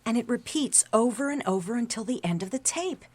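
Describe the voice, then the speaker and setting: An actress playing a young, excitable, chatty office worker in a radio drama, gushing and earnest. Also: female voice, on the high side, a little fast, no room sound. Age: young adult.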